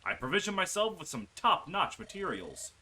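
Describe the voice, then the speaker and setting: Earnest fantasy game companion read by a man, lively and bright, dramatic delivery, light music. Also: high voice, normal speed, pitched above the performer's own voice, exaggerated voice, rough recording. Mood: assured. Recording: music behind the voice.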